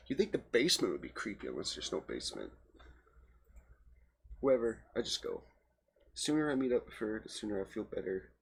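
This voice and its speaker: A man's voice: fairly low pitch, creaky, husky. A man reading a game's text aloud as a let's play host, stumbling here and there and adding casual asides. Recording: very noisy home recording.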